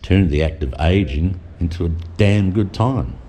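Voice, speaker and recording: A man's voice: low voice, expressive, moderate pace, mellow. A middle-aged man reading a reflective voice-over with wry asides. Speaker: vlogger narrator.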